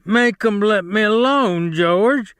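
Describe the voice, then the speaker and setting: Male reader as a big childlike ranch hand; high, pleading, frightened. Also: slow pace, fairly bright tone, clear, resonant tone, no echo. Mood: terrified pleading. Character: big simple-minded man, terrified and childlike.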